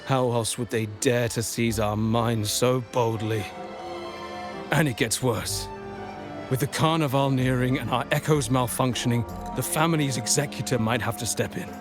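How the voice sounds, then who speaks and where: A man voicing a courteous, formal nobleman from a merchant family in a game cutscene, speaking with smooth persuasion over game music. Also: medium pitch, moderate pace, lightly acted. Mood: earnest.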